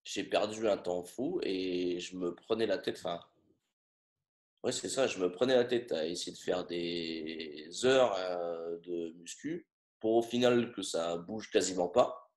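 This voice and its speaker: A man in his thirties telling his story in a casual interview, pausing often with fillers. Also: sits low, a little mellow. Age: 30s. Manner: hesitant, many fillers. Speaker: coaching client. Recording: roomy echo.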